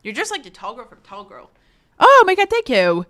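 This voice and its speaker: A young woman with a bright, somewhat high voice chats loosely with her live audience, full of fillers, restarts and casual swearing. She reads comments aloud and answers them in an easygoing way. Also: moderate pace. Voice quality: says her voice is fairly low and relaxed when tired. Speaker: streamer.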